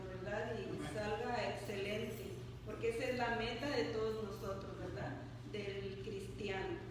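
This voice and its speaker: A woman's voice: on the high side, noisy background. A woman speaking to a church congregation, reading a mission report aloud, stumbling over words and often adding a questioning "right?". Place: church sanctuary. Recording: echoey hall picked up from a distant mic.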